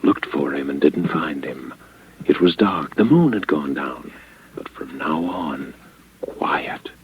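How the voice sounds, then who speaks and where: A man's voice: extremely low pitch, lively intonation, dull audio, slight background noise, full character voice. An actor playing a desperate, cold murderer scheming his perfect crime in a brooding, theatrical old radio drama monologue. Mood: tense scheming. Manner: theatrical, brooding, halting self-talk. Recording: band-limited old radio recording with music behind.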